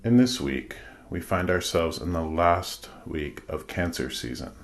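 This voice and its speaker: A man leading a guided meditation, giving soothing instructions in measured, deliberate phrases with pauses. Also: sits low, animated.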